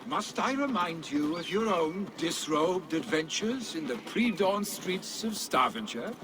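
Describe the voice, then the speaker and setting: An actor playing a carefree, boastful young Viking warrior, the son of a jarl, with a teasing, jovial manner. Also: male voice, sits high, lively intonation, measured pace. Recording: game cutscene with ambient noise.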